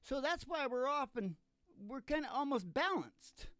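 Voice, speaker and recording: Older man with a very lively, swooping delivery. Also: extremely high pitch, unhurried, no room sound, studio quality. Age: older, under eighty. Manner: folksy, jokey, chuckling. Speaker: podcast host and country musician. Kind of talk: rambling comic philosophical banter. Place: small curtained studio stage. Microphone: stand-mounted vocal microphone.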